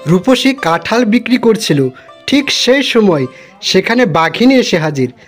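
A woman's voice: mid-range voice, very animated, unhurried, faint music behind. A woman narrating a cartoon story in a plain storytelling manner.

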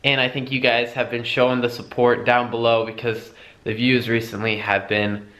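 A young man giving a relaxed, rambling vlog update, filming himself with a handheld camera at arm's length outdoors and in a garage, with plenty of fillers. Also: medium pitch, moderate pace. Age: young adult. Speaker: vlogger. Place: outdoors at a storage lot, in a truck, and in a home garage.

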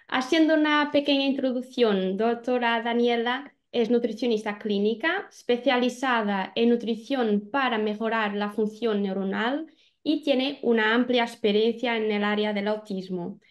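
Young woman, co-host, video call, slightly high voice, formal reading. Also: highs cut off. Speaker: webinar co-host. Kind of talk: scripted introduction.

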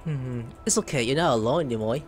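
A man's voice: medium pitch, quick pace, slight background noise. A man giving casual, playful playthrough commentary on a video game, reacting aloud as he goes. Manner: playful, reacts aloud, reads dialogue in character. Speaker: gaming streamer. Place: indoor room, game audio behind. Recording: faint game music behind voice.

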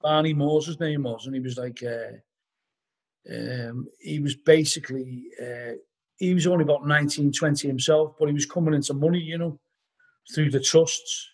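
Husky Liverpool man telling stories. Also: on the high side, normal speed. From Liverpool, England. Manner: rambling anecdotes with many "you know"s. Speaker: podcast guest, band vocalist. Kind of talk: casual storytelling interview. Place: living room at home. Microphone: laptop webcam on a video call.

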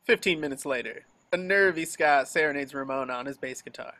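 A man's voice: mid-range voice, a little fast, sharp tone. A man narrating the action lines of a film script at an amateur table reading. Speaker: reader of stage directions. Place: remote video call.

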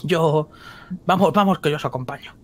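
A man playing a tabletop role-playing game, talking in an animated, jokey way. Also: fairly high pitch, moderate pace, a little hiss or hum. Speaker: player.

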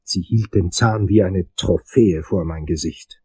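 An actor playing a brooding, infatuated investigator, narrating a crime radio play in a measured, intense manner. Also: male voice, deep voice, warm tone, husky, dry recording. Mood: fascinated.

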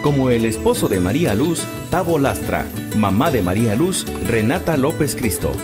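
A man announces credits from a script. His medium-pitched voice has very lively intonation. Music plays clearly underneath. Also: moderate pace.

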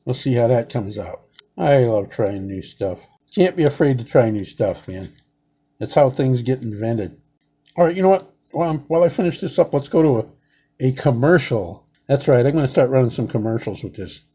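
A man's voice: mid-range voice, expressive, moderate pace, warm tone, husky. A man in his sixties chatting casually in his home kitchen as he peels shrimp, rambling and saying "man" a lot. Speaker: home cooking vlogger. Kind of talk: casual cooking demonstration. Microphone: camera close on a table.

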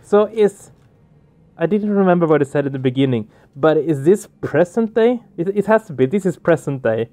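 A man's voice: a little high, lively intonation, moderate pace, mellow. A man doing casual let's play commentary, puzzling things out with repeated questions. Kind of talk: casual game commentary and reading aloud. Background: game music.

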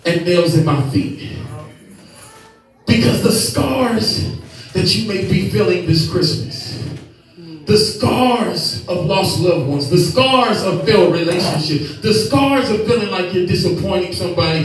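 A man's voice: sits high, animated, measured pace, faint music behind. A young man preaching a sermon on a church stage with a handheld mic, building passion through repeated refrains as he moves and gestures. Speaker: pastor. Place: church stage with lit screens, indoors. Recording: echoey room from a distant camera.

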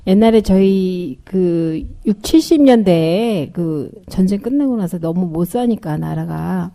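A woman's voice: fairly low pitch, lively intonation, measured pace, gravelly, mellow. A middle-aged woman, a guest in a radio interview, explaining things in an informal, conversational way. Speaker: volunteer group member, interview guest.

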